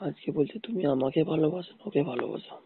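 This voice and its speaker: A man with a rough, husky voice arguing insistently and repetitively in an intimate phone call. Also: a little high, very fast, very noisy. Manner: insistent, repeats demands. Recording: muffled tinny phone call recording.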